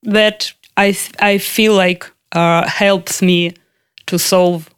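A woman's voice: medium pitch, fairly even intonation, extremely slow, smooth. Young woman. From Ukraine. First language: Russian. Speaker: interviewee. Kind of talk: casual conversational interview.

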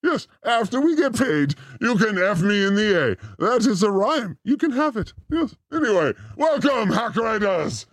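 Male comic host, theatrical and exclamatory, high-pitched, recorded very dry. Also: sing-song, moderate pace, hardly any pauses, no room sound. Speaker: host of a livestream show. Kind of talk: rambling comic monologue in a demon persona.

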